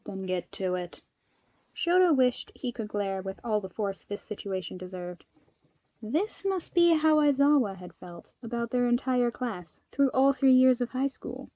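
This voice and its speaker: A woman narrator with an expressive storytelling style. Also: fairly high pitch, a little slow, no room sound. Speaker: fan fiction narrator.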